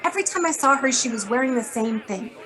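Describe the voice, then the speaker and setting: An actress playing a brisk, blunt, wisecracking female editor in a hard-boiled radio drama. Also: fairly high pitch, expressive, a crowd in the background, close to an everyday voice. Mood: businesslike. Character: brisk, sardonic, worried female magazine editor.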